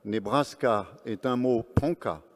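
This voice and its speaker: A man's voice: medium pitch, slow pace. A man presenting and interpreting live at an event on stage, speaking earnestly phrase by phrase. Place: large event hall on stage. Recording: long reverb of a large hall.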